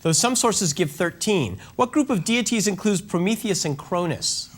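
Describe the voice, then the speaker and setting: A quiz show host reading out trivia questions in a clear, measured way and calling on players by name. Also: mid-range voice, lively intonation, slight background noise.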